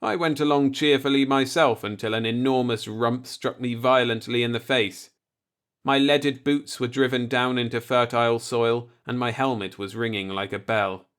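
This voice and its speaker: A man's voice: mid-range voice, fairly even intonation, moderate pace, fairly bright tone, clear, resonant tone. A man reading a short story aloud as a scripted audiobook narration.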